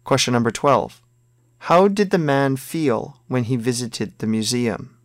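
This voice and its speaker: Male reader for a language-exam recording, slow and deliberate delivery, mid-pitched, dry close sound. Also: lively intonation, no room sound. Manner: slow, careful textbook reading. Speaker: listening test reader. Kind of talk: scripted listening exam dialogue.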